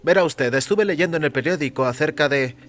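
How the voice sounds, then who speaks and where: A man's voice: fairly high pitch, a little fast, voice pushed up, lightly acted. A man voicing a meek, nervous, aggrieved little man in an audio story, hesitant and polite. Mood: hesitant, uneasy. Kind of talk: audio fiction story character. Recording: music under the voice.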